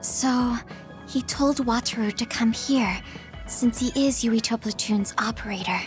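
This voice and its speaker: A woman acts a gentle young squadmate in a video game, her voice high and soft. She sounds caring and concerned, with faint music underneath. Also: a little slow, close to an everyday voice. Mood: curious. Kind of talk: video game cutscene acting.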